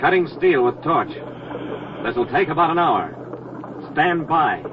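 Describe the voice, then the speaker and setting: An actor playing a brave, commanding Navy deep-sea diver in 1940s radio drama style, speaking in clipped, terse phrases. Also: male voice, animated, slow pace, dull audio. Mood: urgent, steady. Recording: noisy, band-limited 1940s radio recording.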